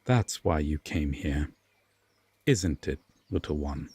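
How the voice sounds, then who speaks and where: A man playing an aloof, stern yet secretly tender Fae king in a roleplay audio drama, speaking formally and deliberately with long pauses. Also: extremely low pitch, animated, a little mellow, slightly rough, no room sound, heavily acted. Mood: somber, knowing.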